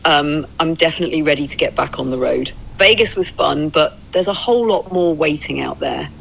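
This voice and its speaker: A woman's voice: deep voice, fairly quick, creaky, a bit bright, slightly rough, rough recording. A synthetic woman's voice playing an easygoing, upbeat travel companion, conversational, reflective and encouraging. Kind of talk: AI voice companion in casual roleplay chat. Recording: music behind the voice. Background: music.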